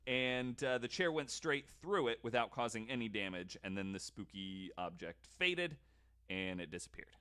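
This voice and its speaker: A man with an average-pitched voice hosts a podcast in a relaxed, conversational style. He tells stories informally and drops in wry, joking asides as he goes. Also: moderate pace, clear, resonant tone. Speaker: podcast host. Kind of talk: casual storytelling with jokes.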